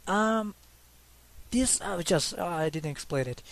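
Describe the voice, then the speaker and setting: A man's voice: high voice, animated, unhurried, vocal fry. Hesitant young man with a Mexican accent. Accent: Mexican Spanish. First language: Spanish. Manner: hesitant, many fillers and restarts; self-conscious, laughs a little. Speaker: hobby artist. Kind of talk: casual talk over his drawings.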